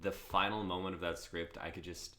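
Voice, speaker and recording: Deep male voice in his twenties, forceful edge, casual co-host delivery, faint background noise. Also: little pitch movement, fairly quick. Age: 20s. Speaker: co-host on a group livestream. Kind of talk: casual chat. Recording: clear background noise, clicks.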